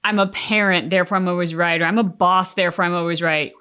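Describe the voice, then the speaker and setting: A woman's voice: fairly low pitch, fairly even intonation, quick pace, pushed and bright, clean tone. Earnest woman co-hosting a reaction chat. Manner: thoughtful, earnest, talks in long reflective runs. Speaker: reaction channel co-host.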